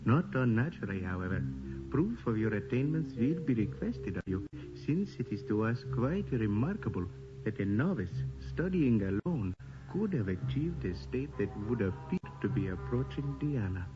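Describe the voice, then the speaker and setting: An actor playing a courteous, formal swami voicing a letter in a radio drama. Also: male voice, mid-range voice, very animated, close to an everyday voice. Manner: formal, measured wording. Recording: muffled radio sound with music.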